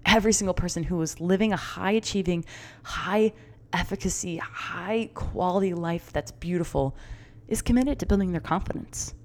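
A middle-aged woman coaching her listeners through her own podcast in a bright, encouraging, chatty way. Her voice sits a little low for a woman. She talks with easy fillers and rising enthusiasm. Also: normal speed. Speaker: podcast host and confidence coach. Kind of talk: upbeat solo podcast talk.

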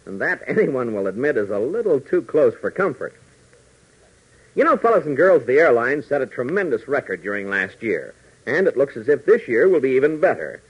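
American male radio announcer, 1940s dramatic narration, swooping pitch, husky, muffled old recording. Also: very animated, normal speed.

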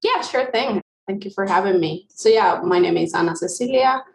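A woman from Venezuela, a software engineer guest, chatting casually with frequent restarts. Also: medium pitch, lively intonation, normal speed, gravelly, echoey room. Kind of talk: casual interview chat.

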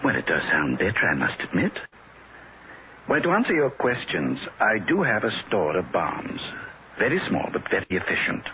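An actor playing a courteous, precise alien emissary in a 1960s radio drama, genteel and mildly condescending. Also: male voice, low-pitched, huge pitch swings, moderate pace, exaggerated voice. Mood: politely patient. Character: polite, mild alien emissary bent on pruning humanity. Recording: dull, hissy 1960s radio recording.

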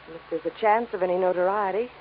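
A woman's voice: on the low side, quick pace, lightly acted. An actress playing a refined, anxious young woman, polite and guarded, in a 1950s radio drama. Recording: tinny, noisy old radio recording.

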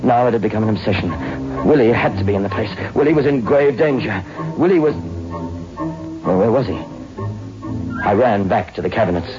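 An actor playing a tough young crime reporter who is terrified and near cracking, in a melodramatic 1960s radio horror drama. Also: male voice, on the low side, expressive, fairly quick, long pauses, close to an everyday voice. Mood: haunted, tense. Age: young adult. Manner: melodramatic, swinging from hushed narration to frantic shouting. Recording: muffled, echoey old radio recording with music.

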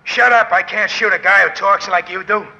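A man playing a bullying small-time gangster with gruff, slangy street talk in a 1940s radio crime drama. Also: high voice, moderate pace, pushed and bright, dull audio, heavily acted. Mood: defeated, giving up. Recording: noisy old 1940s radio recording.

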